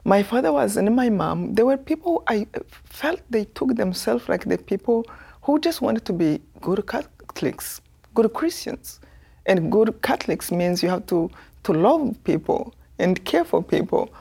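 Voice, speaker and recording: A woman from Rwanda in her fifties with a Rwandan accent, telling her life story as a guest in an earnest, soft-spoken way. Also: mid-range voice, very animated, measured pace, creaky, breathy edge. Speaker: guest, author.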